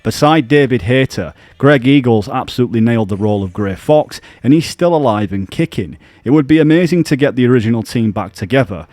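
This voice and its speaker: A man narrating a scripted video essay about games as a YouTube commentator, explaining in an earnest, measured way. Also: mid-range voice, lively intonation, darkish tone, no room sound.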